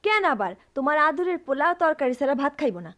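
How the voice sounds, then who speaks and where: Very high-pitched scolding daughter. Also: female voice, sing-song, quick pace, a bit bright. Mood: scolding, annoyed. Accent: Bangladeshi, Dhaka-area dialect. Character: outspoken, scolding dutiful young daughter of poor household. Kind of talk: radio drama acting.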